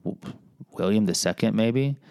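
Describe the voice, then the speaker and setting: A man's voice: fairly low pitch, normal speed, a little mellow, clean tone, no echo, studio quality. A middle-aged American man hosting a podcast, reading a personal memoir with rambling, self-deprecating asides. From United States. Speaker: podcast host and teacher.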